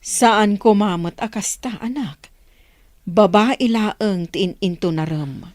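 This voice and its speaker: A woman's voice: mid-range voice, slow, a little mellow, no room sound, clean studio sound, natural voice. A woman acting a worried, scolding mother in an Ilocano radio drama. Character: worried, scolding mother questioning her grown child.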